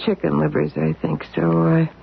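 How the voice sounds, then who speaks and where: An actress playing a dazed housewife who speaks haltingly in an old radio drama. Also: female voice, low voice, big pitch swings, vocal fry, a little hiss or hum, full character voice. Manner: hesitant, halting speech. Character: dazed housewife Edith, embarrassed after passing out. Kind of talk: 1970s radio drama acting. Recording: tinny lo-fi old radio broadcast.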